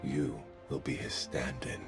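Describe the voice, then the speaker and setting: An obsessive, sinister game villain, savors his menace with a performer's flair. The voice is extremely deep, over clearly heard music. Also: steady tone, unhurried, exaggerated voice. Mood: sinister, brooding. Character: sinister, obsessive theatrical male killer. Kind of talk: video game villain voice lines.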